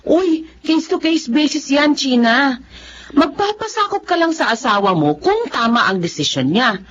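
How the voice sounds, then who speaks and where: An actress playing a blunt, incredulous female friend in a radio drama, speaking in an animated way. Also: high-pitched, normal speed. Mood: incredulous, scolding. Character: outspoken, incredulous female friend of a young wife. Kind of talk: Filipino radio drama acting. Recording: dull, noisy radio drama recording.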